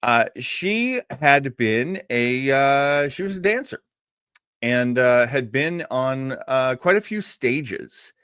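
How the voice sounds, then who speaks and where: Male host for an old-time radio stream: chatty, digressive, playful, slow pace with plenty of melodic swing. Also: mid-range voice, lively intonation, a bit bright, clean tone, no echo, studio quality. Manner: rambling, jokey, digressive. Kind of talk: casual livestream chat and introduction.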